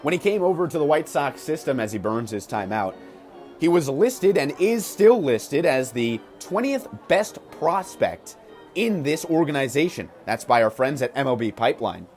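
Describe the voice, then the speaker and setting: Higher-pitched American baseball play-by-play man. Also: big pitch swings, moderate pace, darkish tone, faint music behind. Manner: punchy, clipped calls mixed with stat-filled asides. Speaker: baseball radio play-by-play announcer. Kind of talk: live sports commentary. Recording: clear ballpark noise behind the voice.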